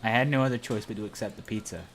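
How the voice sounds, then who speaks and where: A man's voice: on the low side, fairly even intonation, rapid-fire, music in the background. A man reading visual novel narration aloud as an amateur during a playthrough.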